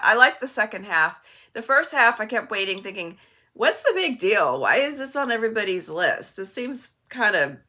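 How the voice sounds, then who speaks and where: A woman's voice: medium pitch, pushed and bright. An older woman chatting casually in a book vlog, conversational and wry, with some self-deprecating humour. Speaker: book vlogger and co-host.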